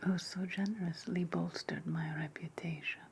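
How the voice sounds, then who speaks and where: A woman playing a wry, spiteful ancient Roman witch in a whispered ASMR roleplay, breathy and sweetly sarcastic. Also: lowish voice, steady tone, heavily acted. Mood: mock gracious. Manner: slow, deliberate, sweetly sarcastic.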